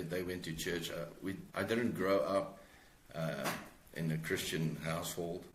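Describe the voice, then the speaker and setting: A man in his forties with a South African accent, talking reflectively as an interview guest in a hesitant, halting way. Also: deep voice, a bit bright, roomy sound, slight background noise, rough recording. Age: 40s. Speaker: guest author.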